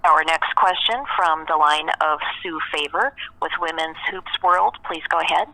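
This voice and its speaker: A woman's voice: low voice, fairly even intonation, moderate pace, vocal fry, pushed and bright, rough recording. A woman working as a conference call operator, making formal, scripted announcements over the telephone.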